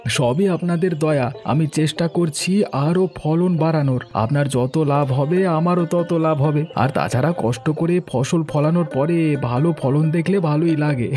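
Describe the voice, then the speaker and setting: A man plays a village farmhand in a cartoon. The voice is fairly high and very mellow, chatty and assured, with faint music behind. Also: unhurried, close to an everyday voice. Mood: eager, deferential. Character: eager, self-interested village farmhand. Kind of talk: Bengali cartoon story dialogue.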